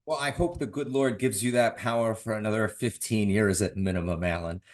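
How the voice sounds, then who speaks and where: A man's voice: lowish voice, brisk. A man hosting an interview, asking his questions earnestly and speaking deferentially to his guest. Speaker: podcast host. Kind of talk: conversational interview.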